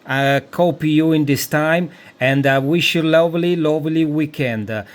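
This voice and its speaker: Male radio operator, Italian accent, slightly high-pitched, repetitive phrasing, contact chat in call signs. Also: normal speed. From Italy. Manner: repeats phrases for emphasis.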